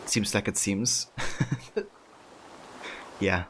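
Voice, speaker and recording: Low-voiced streamer with a German accent. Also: male voice, very slow, slight background noise. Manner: relaxed, rambling, many fillers. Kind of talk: casual live-stream commentary.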